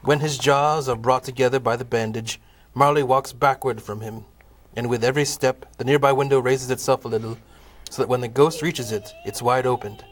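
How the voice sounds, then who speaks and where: A man narrating a scripted comic audio play in a measured, formal storytelling manner. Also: mid-range voice, fairly even intonation.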